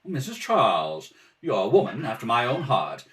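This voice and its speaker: A man's voice: mid-range voice, huge pitch swings, normal speed, fairly bright tone. A man playing a suave, wry retired detective in an old-time radio play, with a dry, teasing manner. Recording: home kitchen, distant mic.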